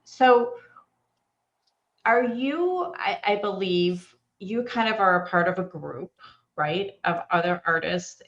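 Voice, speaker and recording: Chatty woman host who rambles a bit, slightly low voice, muffled lo-fi line. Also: fairly bright tone. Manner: chatty, rambling, laughs easily. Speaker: host, company art director. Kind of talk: casual interview.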